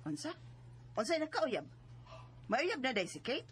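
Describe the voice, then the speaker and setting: A woman's voice: high voice, big pitch swings, a little slow, a bit bright, a little hiss or hum, exaggerated voice. A woman playing a worried, stern middle-aged mother in a radio drama, scolding and exclamatory, with a Cebuano Filipino accent. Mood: surprised. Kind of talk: Bisaya radio drama acting.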